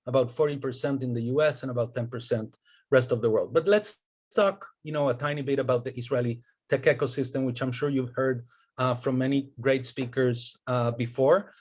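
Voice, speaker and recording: Costa Rican man presenting at a webinar. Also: mid-range voice. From Costa Rica. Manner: friendly, fluent with frequent fillers and restarts. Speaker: venture capital investor relations partner.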